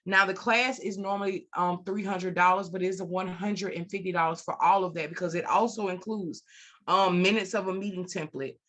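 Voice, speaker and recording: A woman's voice: fairly low pitch, steady tone, brisk, a bit bright. A woman speaking as an interview guest, a consultant and trainer explaining and promoting her services over a video call.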